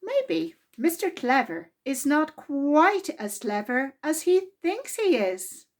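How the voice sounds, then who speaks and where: Female storytime reader, high voice, very unhurried pace, expressive delivery pitched at young children. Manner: deliberate, expressive reading aimed at young children.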